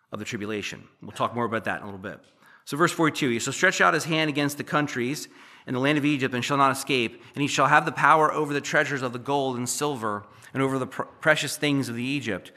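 Hoarse preacher man, bright-toned. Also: medium pitch. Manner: reads verses louder, then explains conversationally. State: voice giving out, slightly hoarse. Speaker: pastor. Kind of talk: sermon with scripture reading.

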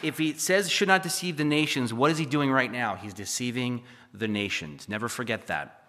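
Pastor, male, hoarse from losing his voice, bright, forceful tone, conversational preaching with jokey asides. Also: mid-range voice, moderate pace, slight background noise. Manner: conversational, with jokey asides and frequent "okay?" and "right?". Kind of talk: preaching a sermon with Bible readings. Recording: echoey hall reverb.

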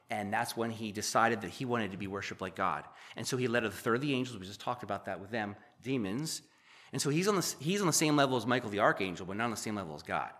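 A man preaching a sermon, reading scripture aloud and then explaining it in a conversational way, his voice slightly hoarse and worn. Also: medium pitch. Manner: reads verses louder, then explains conversationally. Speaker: pastor.

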